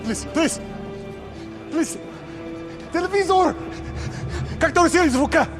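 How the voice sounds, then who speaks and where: Shrill, frantic foreign man. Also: lively intonation, takes it slowly, fairly bright tone, soft background music, heavily acted. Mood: frantic pleading.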